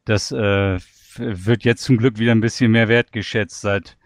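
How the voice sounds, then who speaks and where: Lowish German man, dry close sound. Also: fairly even intonation, moderate pace, no echo. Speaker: podcast interviewer. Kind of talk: casual interview chat.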